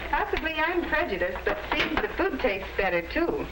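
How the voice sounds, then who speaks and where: A woman's voice: sits high, very animated, moderate pace. An actress playing a cheerful, obliging middle-aged American housewife and mother in a 1950s sitcom-style film. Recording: very noisy, distant vintage film soundtrack.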